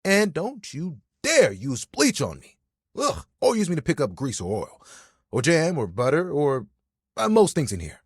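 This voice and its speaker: A dramatic living towel game character, playful n chatty, super animated pitch, bit husky, really dry close sound. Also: male voice, measured pace, no echo, full character voice. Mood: fussy, defensive. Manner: playful, chatty, theatrical, easily wounded. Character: friendly, vain, dramatic living bathroom towel, male. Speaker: voice actor in a video game.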